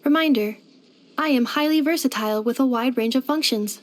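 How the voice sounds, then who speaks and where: A woman's voice: sits high, brisk, natural voice. A woman voicing a polite, helpful robot maid companion in a video game, speaking in a formal, precise, earnest way. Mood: earnest, curious. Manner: formal, precise, clipped system-report phrasing. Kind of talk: scripted video game character voice lines.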